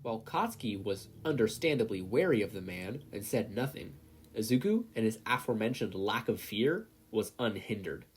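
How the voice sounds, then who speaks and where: A man's voice: lowish voice, big pitch swings, moderate pace, breathy edge. A man reading a scripted story aloud as a fiction narrator. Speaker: podfic narrator.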